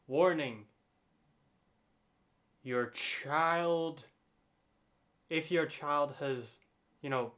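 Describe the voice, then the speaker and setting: A man gives casual, joking reactions in an unhurried, slow delivery. He rambles and restarts his sentences as he reacts. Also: mid-range voice, fairly bright tone. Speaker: co-host reacting.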